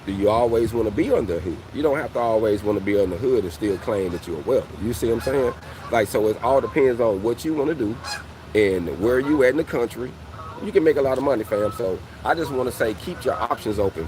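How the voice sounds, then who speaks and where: A man in his forties talking to his viewers as a welding coach, in a slightly low voice. He speaks in a loose, conversational way and keeps calling his audience "fam". Faint street noise can be heard behind him. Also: lively intonation, fast. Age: 40s. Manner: conversational, repeats "fam" and "you know what I mean". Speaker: welding coach and channel host. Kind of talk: casual motivational talk to viewers.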